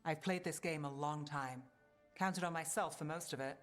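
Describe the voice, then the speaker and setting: A woman's voice: low voice, normal speed, lightly acted. A woman voicing a guarded, self-reliant female companion in a fantasy video game, wry and understated. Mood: wry, reflective. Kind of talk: scripted video game dialogue. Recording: game audio with music underneath.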